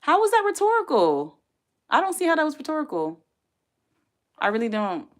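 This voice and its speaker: A woman's voice: sits high, wildly swooping pitch, normal speed. A woman in her forties hosting a casual livestream from home, reading viewer comments aloud and chatting back with frequent laughs. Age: 40s. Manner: chatty, talks straight to chat, laughs often. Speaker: livestream host.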